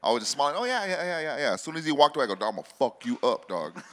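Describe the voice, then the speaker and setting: A man's voice: mid-range voice, sing-song, very fast. A man co-hosting a podcast, telling profane slangy stories in casual chat, always saying dog and fool. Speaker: podcast co-host. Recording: hall reverb.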